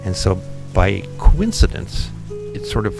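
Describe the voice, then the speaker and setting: Male founder as podcast guest. Deep, gravelly voice, unhurried and reflective, music bed underneath. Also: big pitch swings. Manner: thoughtful, many ums and restarts. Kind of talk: relaxed interview conversation.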